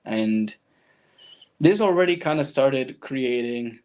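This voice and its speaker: A man's voice: mid-range voice, lively intonation, slow, a little mellow. A man from Argentina with a Latin American accent and Spanish as his first language, speaking as a guest and telling his personal story reflectively in conversation.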